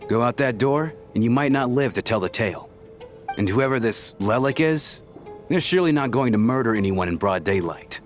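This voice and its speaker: An actor voicing a level-headed man who speaks in a calm, reassuring way. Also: mid-range voice, moderate pace, faint music behind, lightly acted. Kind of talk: video game voice acting.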